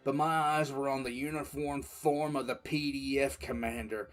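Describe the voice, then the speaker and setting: A man's voice: mid-range voice, fairly even intonation, normal speed, a crowd in the background, natural voice. Man playing a brooding, stern military commissar. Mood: grim, scornful. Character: stern male military commissar bitterly recounting a war. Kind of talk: dramatic first-person audio drama narration. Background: music.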